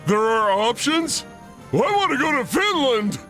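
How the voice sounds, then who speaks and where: A man's voice: very high-pitched, big pitch swings, a little slow, a bit bright, faint music behind, heavily acted. A man voicing a dim-witted, happy-go-lucky starfish sidekick in a cartoon game, with a thick, goofy voice and a childlike, oblivious manner. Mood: carefree, eager. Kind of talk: animated cartoon game voice acting.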